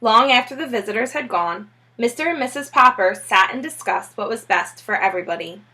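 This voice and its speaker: A woman reading a children's novel aloud as a storybook narrator, with a husky voice. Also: fairly high pitch, animated, fairly quick, bright, forceful tone. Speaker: storybook reader.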